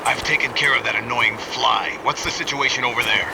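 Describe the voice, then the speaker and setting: A man voicing a gravelly, curt operative. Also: mid-range voice, a little fast, background noise, lightly acted.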